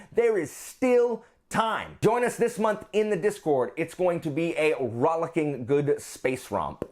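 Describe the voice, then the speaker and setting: A man hosting a book channel, talking casually and enthusiastically to his viewers with jokey asides. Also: a little high.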